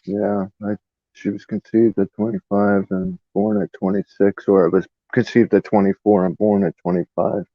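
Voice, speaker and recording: Low-voiced man in his forties, joking. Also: moderate pace, no room sound, lo-fi sound. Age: 40s. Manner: relaxed, joking, with many fillers. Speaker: stream co-host. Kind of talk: casual chat.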